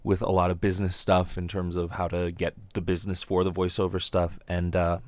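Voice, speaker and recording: A man with a low voice talks off the cuff on his podcast, recorded dry with very little room sound. He rambles casually, doubling back on his words in stammered false starts, and swears freely. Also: completely flat delivery, a little fast, darkish tone, no echo. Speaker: podcast host and aspiring voice actor.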